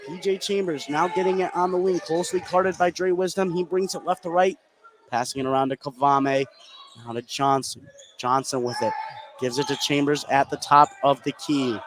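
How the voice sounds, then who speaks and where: A man's voice: fairly high pitch, fairly even intonation, normal speed. A man calling live basketball play-by-play for a radio broadcast from a gym. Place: indoor high school basketball gym, courtside. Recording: live gym broadcast, loud background noise. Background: loud gym ambience.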